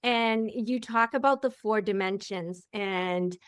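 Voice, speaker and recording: A woman hosting an interview in a casual, open way, with a loud voice that carries. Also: medium pitch, slow pace, clean tone, no echo, clean studio sound. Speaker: podcast host.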